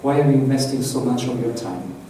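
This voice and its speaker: A man's voice: medium pitch, fairly quick, warm tone. Echoey italian man leading a workshop. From Italy. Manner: chatty, loose, asks the room questions. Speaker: workshop trainer. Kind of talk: live workshop talk to an audience. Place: large echoey conference room. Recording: echoey room from far off, faint hiss.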